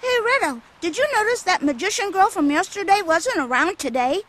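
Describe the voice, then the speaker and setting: A woman's voice: very high voice, animated, brisk, a bit bright. A woman voicing a naive, cheerful young boy in a video game, earnest and inquisitive. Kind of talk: video game cutscene voice acting.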